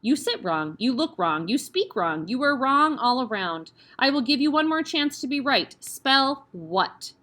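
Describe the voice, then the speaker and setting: A woman's voice: medium pitch, big pitch swings, moderate pace, pushed and bright. A woman voicing a huge, bullying headmistress who barks and snaps with contempt. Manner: barking, snapping, contemptuous.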